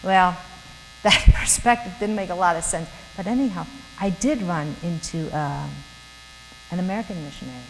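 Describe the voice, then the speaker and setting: A woman's voice: on the low side, moderate pace. A middle-aged woman from Germany with a German accent, giving an earnest, chatty church talk to young professionals and laughing often. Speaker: guest speaker. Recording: hall reverb with faint mains hum.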